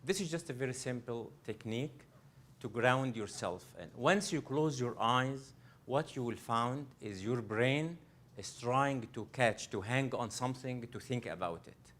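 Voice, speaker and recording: A man's voice: mid-range voice, unhurried, a bit bright. A man from Egypt, a coach presenting a live workshop to an audience. He speaks earnestly, often restarting and repeating phrases as he addresses the room directly. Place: library event room.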